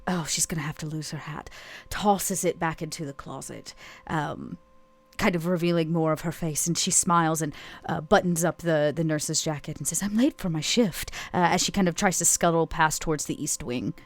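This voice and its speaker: A woman's voice: deep voice, fairly even intonation, normal speed. A woman playing a tabletop game, casually narrating her character's actions while thinking aloud.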